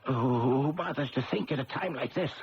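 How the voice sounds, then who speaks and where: Man acting a creaky, shifty radio-drama twin. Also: mid-range voice, moderate pace, natural voice. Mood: shaken. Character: shifty, sardonic male twin, short of money. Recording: muffled, noisy old radio recording.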